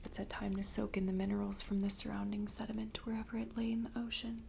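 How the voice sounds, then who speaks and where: A young woman playing a mysterious, gentle seaside shopkeeper in a whispered ASMR roleplay, hushed, unhurried and intimate. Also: medium pitch, monotone, gravelly, a little hiss or hum. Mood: hushed, inviting. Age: young adult. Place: dim candlelit tabletop set indoors.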